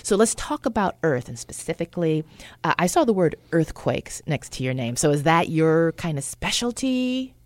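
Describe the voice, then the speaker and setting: A woman's voice: low voice, very animated, normal speed, no echo. A woman over fifty from San Francisco hosting an interview, chatty and rambling in her questions. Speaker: podcast host. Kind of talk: casual interview chat.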